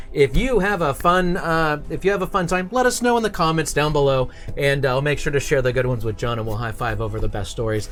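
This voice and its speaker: A man hosting a casual web show in a studio, talking in an informal, encouraging way. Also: mid-range voice. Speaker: show host.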